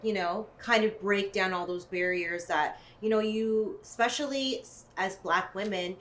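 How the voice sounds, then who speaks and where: A woman's voice: medium pitch, moderate pace, fairly bright tone. A woman guest on a casual podcast who owns a hair salon. She agrees readily and explains things plainly.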